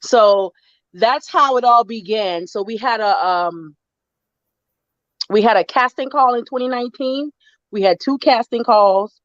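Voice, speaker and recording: A woman's voice: fairly high pitch, measured pace, no room sound, highs cut off. A middle-aged woman from Florida, a writer guest in a casual online interview, talking in loose rambling runs with fillers and restarts. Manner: chatty, many restarts and fillers, laughs often. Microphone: video call.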